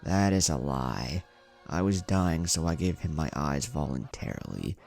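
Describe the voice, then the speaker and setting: A man voicing a hot-headed, defiant captured ninja warrior in an anime story, sharp and taunting. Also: rumbling low, animated, unhurried, vocal fry, soft background music, exaggerated voice. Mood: harsh, defiant.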